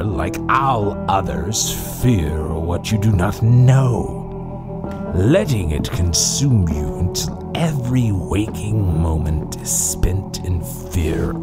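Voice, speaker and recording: A smug, menacing mad doctor for a horror audio drama. He speaks slowly and pompously, with theatrical swoops in pitch, over music. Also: heavily acted. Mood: cold, scornful.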